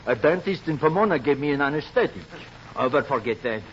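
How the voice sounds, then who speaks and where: Amorous suave lover, melodramatic, gushing, radio comedy, dull hissy recording. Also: male voice, on the high side, animated, fairly quick, a bit bright. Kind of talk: 1940s radio comedy acting. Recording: muffled old radio recording, faint hiss.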